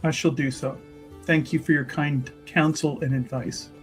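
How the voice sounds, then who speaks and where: A man's voice: a little high, steady tone, soft background music, lightly acted. Man playing a formal, troubled scholarly woman. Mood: grateful. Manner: formal, measured speech.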